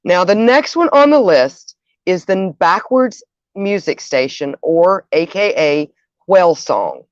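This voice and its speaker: A woman with a slightly low voice presents as the host of a show. She reads partly from notes, now and then tripping on a word and correcting it. The sound is dry and close, with a dull, lo-fi edge. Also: big pitch swings, takes it slowly, no room sound. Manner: stumbles over words and corrects herself.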